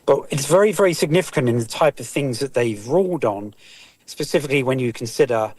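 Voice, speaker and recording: A man calling into a talk show, giving a formal and measured report with many hesitations. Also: medium pitch, animated, darkish tone, no echo. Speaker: regular caller.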